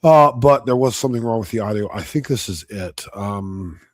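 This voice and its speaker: A fairly low-voiced man with a slight hoarseness, talking in a blunt, combative, cussing way. His delivery is casual and off the cuff, like a streamer commenting live. The sound is close and dry, with no room around it. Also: moderate pace, dry recording. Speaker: debate reviewer streaming live.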